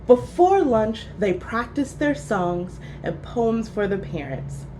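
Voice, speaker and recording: A woman storyteller reading a children's book aloud, expressive and clearly phrased. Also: a little high, takes it slowly, a little hiss or hum.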